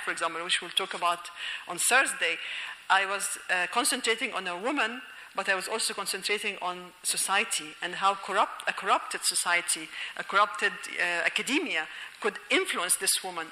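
A bright, sharp-toned woman from Egypt gives a university lecture, thinking aloud with frequent fillers and restarts. Her voice carries a long reverb, as if from a hall. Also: mid-range voice. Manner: thoughtful, many fillers and restarts, laughing asides. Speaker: lecturer and novelist.

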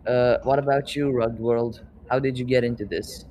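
A man's voice: medium pitch, fairly even intonation, normal speed, warm tone, slight background noise. Young man hosting a casual rap podcast. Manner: rambling, loose, swears casually. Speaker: podcast host. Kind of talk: casual interview chat.

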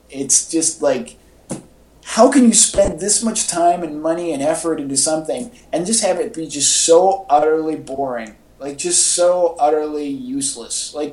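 Echoey, higher-voiced man chatting casually. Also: a little mellow. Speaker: podcast co-host. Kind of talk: casual film chat. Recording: echoey podcast audio.

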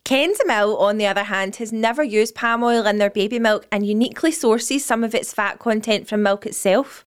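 A woman's voice: medium pitch, moderate pace, clean tone. A woman co-hosting a podcast, reading an upbeat scripted sponsor segment. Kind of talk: scripted ad read.

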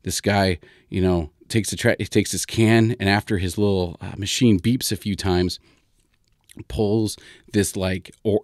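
Male storyteller, lowish voice, dry close sound, informal ad-lib delivery with thinking-aloud pauses. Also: darkish tone, no echo. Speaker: storytelling podcast host. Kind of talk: casual improvised storytelling.

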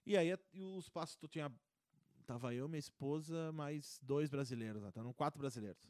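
Rambling man, slightly high. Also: fairly quick, a little mellow, no room sound, studio quality. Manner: rambling storyteller with many restarts and fillers. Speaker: podcast guest. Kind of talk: casual chat.

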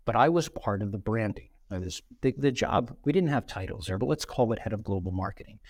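A middle-aged man, a podcast guest, telling career stories in an animated way and acting out quoted dialogue. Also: on the low side, brisk. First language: bilingual, Brazilian parents. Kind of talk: conversational interview storytelling.

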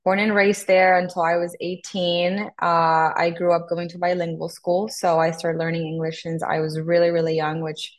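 Low, even-toned Honduran woman. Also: normal speed, few pauses. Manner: earnest, steady, some fillers. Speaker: podcast guest. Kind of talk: conversational interview storytelling.

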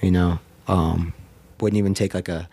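A man's voice: low voice, flat delivery, normal speed, warm tone, husky. A man, a painter talking reflectively in a casual interview, full of "like" and "um". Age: 30s. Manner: reflective, frequent "like" and "um" fillers. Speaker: painter guest. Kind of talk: casual conversation. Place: indoor studio with mic arms.

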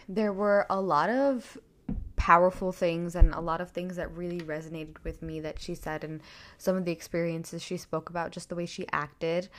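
A young woman hosting her own podcast, chatting enthusiastically about a book with a slightly raspy voice from screaming. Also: lowish voice. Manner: enthusiastic, chatty, lots of fillers. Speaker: podcast host. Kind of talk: casual solo podcast book review.

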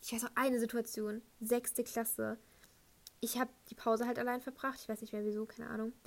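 A woman's voice: a little high, flat delivery, normal speed, vocal fry. A woman in her late teens chatting casually in a rambling, giggly, self-deprecating way, with youthful slang. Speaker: high school student sharing anecdotes. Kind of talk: casual, rambling personal chat.

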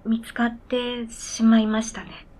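A high-voiced, earnest snowmobile that has learned to talk , played by a woman. It speaks in formal, polite sentences with a matter-of-fact manner, as in radio drama dialogue. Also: unhurried, darkish tone. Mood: hesitant, subdued. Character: earnest, polite talking snowmobile with its own identity.

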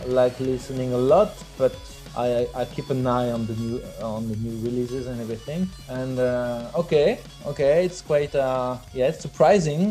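A man's voice: medium pitch, warm tone. A man from France with a French accent answers interview questions conversationally, searching for his words and repeating himself. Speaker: interviewed band musician.

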